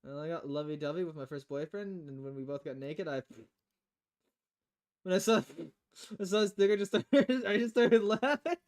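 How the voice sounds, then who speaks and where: A woman's voice: fairly low pitch, moderate pace, darkish tone, voice pushed down, natural voice. A woman voicing a blunt, teasing young woman bartender in a visual novel, casually and informally. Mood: amused.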